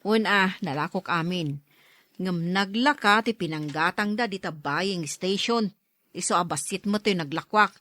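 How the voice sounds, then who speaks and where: A woman's voice: lowish voice, unhurried, no room sound. An actress playing a shrewd, scheming mother who haggles and scolds, in a drama. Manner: sharp-tongued, bargaining, scolding. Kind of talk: radio drama acting.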